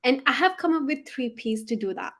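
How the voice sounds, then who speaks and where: Woman in her 20s, earnest rehearsed intro on a video call, slightly high voice. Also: animated, fairly quick, fairly bright tone. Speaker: pageant contestant. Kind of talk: prepared self-introduction.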